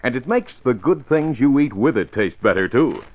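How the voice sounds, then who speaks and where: A man's voice: medium pitch, animated, moderate pace. A man announcing and narrating in scripted 1940s radio style. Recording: muffled, phone-like old radio recording.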